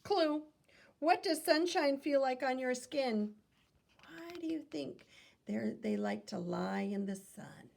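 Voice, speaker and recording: A woman reading aloud to children like a teacher, in an instructive manner, pausing to pose questions to young listeners. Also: sits high, expressive, creaky, darkish tone.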